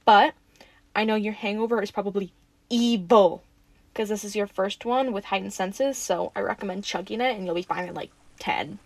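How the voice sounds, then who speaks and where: A woman acting in an audio roleplay as a friendly, excitable young woman who rambles casually and gets playfully defensive. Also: mid-range voice, moderate pace, creaky, dry recording. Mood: friendly, chatty.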